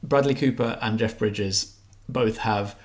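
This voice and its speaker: A man with a fairly low-pitched voice talks through a film review as a podcast co-host. His delivery is conversational and a bit hesitant, often reworking a phrase as he goes. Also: measured pace. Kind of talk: casual review chat.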